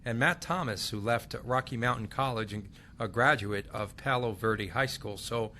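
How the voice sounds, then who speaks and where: A man's voice: medium pitch, moderate pace, pushed and bright, slight background noise. A man with an American accent hosting a sports show, reading out scores and news and putting questions to a panel.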